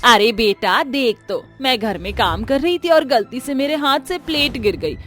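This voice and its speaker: A woman's voice: a little high, lively intonation, moderate pace, fairly bright tone, soft background music. A woman voicing a cruel, greedy, middle-aged mother-in-law in a melodramatic moral-story cartoon, with a taunting manner. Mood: false, whining complaint.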